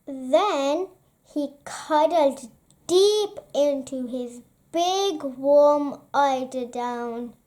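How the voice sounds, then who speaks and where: A woman reading a children's bedtime story aloud as an expressive narrator. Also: high voice, extremely slow.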